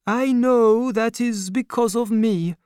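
A man's voice: extremely high pitch, slow, a little mellow, clean tone, no room sound, studio quality, voice pushed up. A man voicing an aging, fretful old doctor. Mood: sad, tender. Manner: pleading, emotional. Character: aging gentle male doctor, anxious and fatherly.

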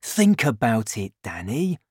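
A man reading an audiobook, voicing a scheming father in a lowered, softer, confiding voice. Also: big pitch swings, slow pace, husky, no room sound, studio quality, heavily acted. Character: warm, scheming father, quietly resentful. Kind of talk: audiobook character voice.

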